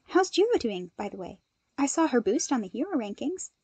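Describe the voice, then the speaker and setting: A woman voicing an earnest, cheerful, analytical young man with an eager, animated manner in a story reading. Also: sits high, a little fast, slightly rough, no room sound, exaggerated voice. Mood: friendly, curious.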